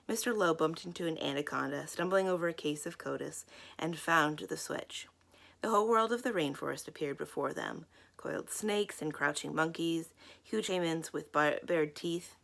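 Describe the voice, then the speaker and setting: A woman's voice: deep voice, gravelly, a bit bright. A woman reading a children's book aloud as a storyteller, in scripted narration.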